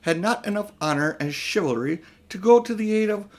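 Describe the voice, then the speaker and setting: A man's voice: expressive, fairly quick. A man voicing a haughty, manipulative older uncle who is a magician in a children's audiobook, speaking in a condescending, smug way. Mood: pompous. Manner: high and mighty, condescending, coolly smug. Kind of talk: children's audiobook character reading.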